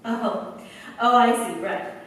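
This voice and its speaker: Woman doing tough american working gal, radio play, wry slangy wisecracks, high voice, super echoey. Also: slow pace. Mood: wry defiance. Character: tired, wisecracking, tough American factory girl. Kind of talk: staged 1940s-style radio play acting. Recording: very echoey, distant mic, faint noise.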